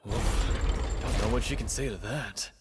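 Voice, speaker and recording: A man's voice: lowish voice, unhurried, natural voice. A man playing a casual, opinionated onlooker in a game courtroom. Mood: skeptical. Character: gossipy male spectator in a courtroom gallery. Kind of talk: game voice acting. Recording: long hall reverb, faint music.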